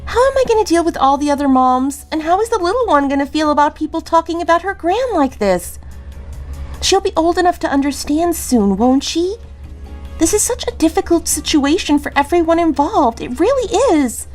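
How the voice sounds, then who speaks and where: A woman plays a worn-out grown daughter in an audio drama, with a high voice. She sounds fretful, pleading and exasperated over faint music. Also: lively intonation, normal speed. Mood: weary, embarrassed. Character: exhausted, exasperated grown daughter and young mother. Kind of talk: dramatic dialogue.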